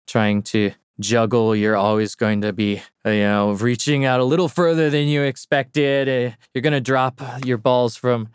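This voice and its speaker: A man's voice: medium pitch, clean tone, dry recording, studio quality. A man who teaches and plays music, talking casually as a podcast guest in a reflective, encouraging way. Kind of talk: casual interview talk.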